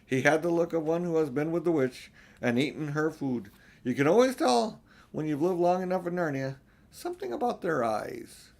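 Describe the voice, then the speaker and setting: Man as storybook male beaver, raised pitch, grave, worldly-wise, insistent, emphatic warnings. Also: expressive, normal speed, gravelly, a little mellow. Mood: grim, knowing. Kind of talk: storybook character voice in an audiobook.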